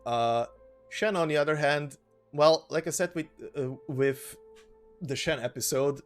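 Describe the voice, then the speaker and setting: A man's voice: fairly high pitch, a little slow. A man narrating a gaming video in an informal way, moving between casual commentary and reading lore text aloud. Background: background music.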